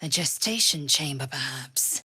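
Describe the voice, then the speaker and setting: Fierce haughty alien warrior lady for a game, husky n slow, real dry close sound. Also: low voice, lively intonation, sharp tone, no room sound, heavily acted. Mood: measured, grave. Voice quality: husky, raspy. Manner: clipped, commanding, haughty, formal wording. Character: proud, fierce, imperious githyanki warrior woman. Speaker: video game character. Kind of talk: game voice acting, short scripted lines.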